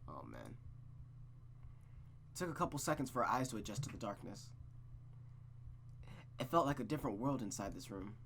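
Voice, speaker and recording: A man's voice: mid-range voice, sing-song, normal speed, long pauses, fairly bright tone, slight background noise. A man reading a visual novel aloud in a suspenseful storytelling style, with playful, casual asides.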